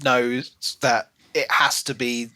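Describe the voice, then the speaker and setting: A man's voice: medium pitch, level tone, takes it slowly, pushed and bright. A man co-hosting a podcast, talking informally and casually as he discusses a book. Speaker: podcast co-host.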